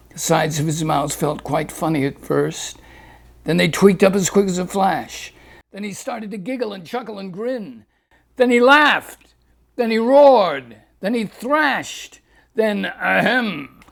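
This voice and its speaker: A man reads a rhyming fable aloud with a lilting, rhythmic lilt. His pace is very unhurried and his voice has a gravelly grain. It sits a little higher than most men's. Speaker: storyteller.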